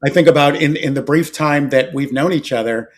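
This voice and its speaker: A middle-aged American man hosting a casual podcast interview, agreeable and rambling, in halting phrases. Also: on the high side. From Philadelphia area, US. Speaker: podcast host.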